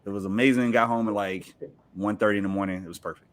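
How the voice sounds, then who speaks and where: A man's voice: lowish voice, steady tone, fast. An American man from Virginia chatting casually as a podcast guest, slangy and joking. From Virginia, United States. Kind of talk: casual chat.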